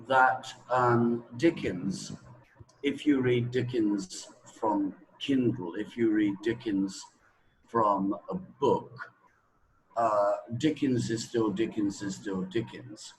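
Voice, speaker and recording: A middle-aged man talking anecdotally as an English teacher, deliberate and emphatic, with dramatic pauses and repetition. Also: on the low side, slight background noise, low-quality recording. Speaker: English teacher being interviewed. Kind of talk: anecdotal spoken talk.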